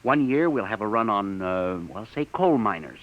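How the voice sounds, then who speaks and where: An actor playing an eager, anxious, deferential junior investigator with a hesitant, earnest manner in a 1950s radio drama. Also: male voice, on the low side, animated, moderate pace, lightly acted. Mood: earnest, excited. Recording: muffled old radio recording.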